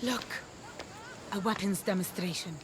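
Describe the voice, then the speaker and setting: A woman's voice: medium pitch, measured pace, noisy background, lightly acted. A woman playing a cold, commanding admiral, a menacing villain in a video game. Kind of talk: video game cutscene acting.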